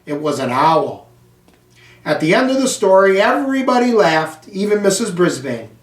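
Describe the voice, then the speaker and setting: An American man reading a children's storybook aloud in a deliberate, phrase-by-phrase way. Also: sits high, very animated. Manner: slow, deliberate, phrase-by-phrase delivery. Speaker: school superintendent reading aloud. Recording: echoey room, distant microphone.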